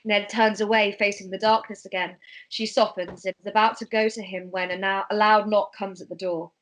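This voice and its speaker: A woman's voice: medium pitch, little pitch movement, fairly quick, a bit bright, low-quality recording. A woman reading scripted narration and stage directions in an amateur table read.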